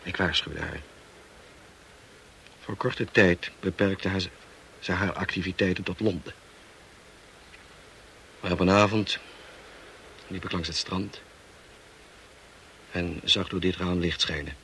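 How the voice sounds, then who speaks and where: A man acting a tormented, bitter husband in a Dutch radio play, speaking gravely with dramatic pauses. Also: deep voice, steady tone, a bit bright, full character voice. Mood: bitter, haunted. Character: tormented, bitter husband confessing to his young wife. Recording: noisy old radio play recording.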